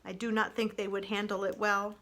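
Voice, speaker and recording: A woman voicing a gentle, earnest female robot in a children's storybook, with measured, formal phrasing. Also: medium pitch, level tone, lightly acted. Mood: calm, earnest.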